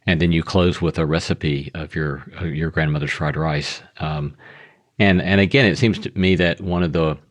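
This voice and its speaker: A man's voice: rumbling low, steady tone, moderate pace. A man hosting an interview, affirming his guest and asking questions with a few hesitant fillers. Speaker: podcast host. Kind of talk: interview conversation.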